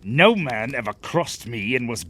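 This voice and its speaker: A rich, domineering businessman with a quick temper. His mid-pitched voice swings widely as he blusters in formal, old-fashioned speech for an audio play. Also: expressive, fairly bright tone, slight background noise. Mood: angry, threatening. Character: proud, hot-tempered, domineering wealthy businessman client. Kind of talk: audio theatre acting.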